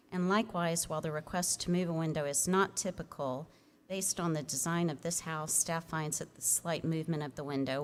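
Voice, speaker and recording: A woman staff planner reading a formal report aloud at a public hearing in a measured, procedural way. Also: low-pitched. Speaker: staff planner presenting a case. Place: public commission meeting room. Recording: noisy public meeting room microphone.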